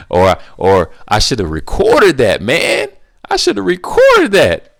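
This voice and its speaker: A man's voice: mid-range voice, huge pitch swings, slow, gravelly, a bit bright, no room sound, studio quality. A man hosting a casual solo Q&A video, rambling and restarting his sentences in a laid-back, playful way. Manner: rambling, repeats himself, restarts often. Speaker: YouTube Q&A host.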